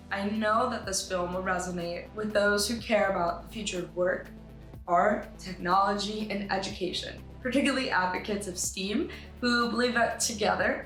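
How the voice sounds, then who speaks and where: A woman giving an articulate, earnest scripted pitch as a filmmaker presenting her project, with some light asides. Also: normal speed, a bit bright. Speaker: animator and writer pitching a short film. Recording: roomy echo with music behind. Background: music.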